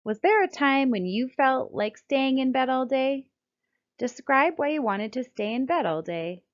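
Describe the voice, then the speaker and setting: A woman's voice: sits high, expressive, unhurried. American woman reading aloud, slightly muffled recording. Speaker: children's book author reading her own book. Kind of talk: scripted read-aloud storytelling and narration. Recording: dull, lo-fi sound.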